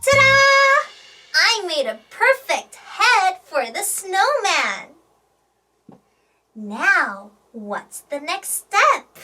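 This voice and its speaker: Super high bright lady doing kids english storytime, really slow n sing-song, soft music behind. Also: big pitch swings. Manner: sing-song, repeats words for learners. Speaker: children's storytime host and English teacher. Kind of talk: playful children's English lesson, exaggerated storytelling.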